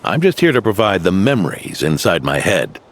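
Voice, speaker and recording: Gravelly old knight. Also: male voice, sits low, big pitch swings, moderate pace, full character voice. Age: older. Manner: gruff, fatherly, teasing. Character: old seasoned male knight, gruff and steady. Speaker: veteran knight. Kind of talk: video game voice acting.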